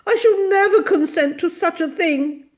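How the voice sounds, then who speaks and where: A woman's voice: extremely high pitch, moderate pace, pitched above the performer's own voice. A woman voicing a haughty, fussy, melodramatic lady in a storybook reading, with indignant, theatrical delivery. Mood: tearful, wailing. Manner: indignant, imperious, wailing theatrics.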